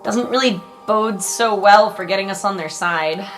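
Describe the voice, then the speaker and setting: A woman's voice: mid-range voice, moderate pace, faint music behind. A woman talking casually in character as a player in a tabletop roleplay game.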